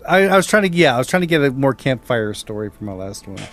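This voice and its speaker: A man's voice: mid-range voice, very animated, fast talker, a bit bright. A man co-hosting a storytelling podcast in an informal, campfire style with a theatrical touch.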